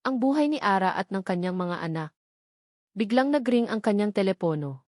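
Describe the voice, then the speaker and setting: A woman narrating a scripted romance story in a measured, melodramatic read-aloud manner. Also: medium pitch, lively intonation, a little mellow, no room sound, clean studio sound.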